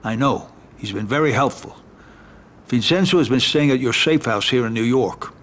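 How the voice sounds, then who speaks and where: A man playing a calm, businesslike mob advisor. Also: medium pitch, moderate pace, a little hiss or hum, natural voice. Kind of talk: video game cutscene acting.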